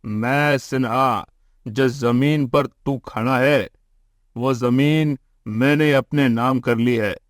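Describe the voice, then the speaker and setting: A man's voice: mid-range voice, steady tone, slow pace, no room sound, studio quality, lightly acted. A man voicing a commanding, pompous rich land-grabber who bullies the poor in a cartoon moral story. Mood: bullying, boastful. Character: gruff, bullying male land-grabbing rich villain.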